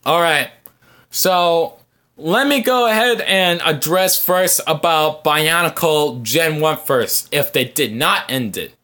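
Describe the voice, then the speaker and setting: Halting, bright-voiced man hosting a fan podcast. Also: a little high, lively intonation. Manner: halting, rambling, thinking aloud. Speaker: podcast host. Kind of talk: casual fan podcast talk answering viewer comments.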